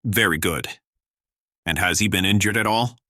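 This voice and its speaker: An actor playing a weary, reluctant scientist in charge, speaking in a measured, formal, clipped way in an audio drama. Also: male voice, deep voice, sharp tone, no room sound, clean studio sound. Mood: businesslike.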